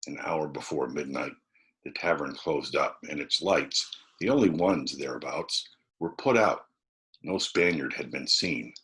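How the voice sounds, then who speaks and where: A man's voice: extremely low pitch, pushed and bright. A man reading a classic novel aloud as a storybook narrator. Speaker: volunteer storybook reader.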